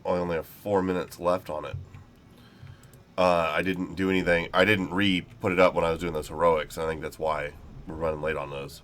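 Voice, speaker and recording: A man hosts casual gameplay commentary and rambles through what he is doing in an easygoing way. He is a little sick, so his very deep voice comes out slightly nasal. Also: fairly even intonation, a little fast, fairly bright tone, slight background noise. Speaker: gaming channel host.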